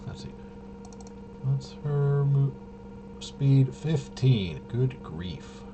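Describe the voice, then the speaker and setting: A man's voice: mid-range voice, animated, very slow, background noise. A man giving casual play-by-play of a tabletop roleplaying game, muttering and thinking aloud as he goes. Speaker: solo tabletop game player and host.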